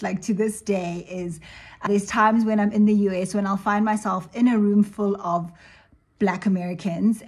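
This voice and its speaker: A woman's voice: mid-range voice, darkish tone. A woman in her thirties who grew up in South Africa, giving a personal commentary in a conversational, reflective way with frequent asides. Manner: conversational, reflective, uses asides like "you know" and "right". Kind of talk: personal video essay commentary.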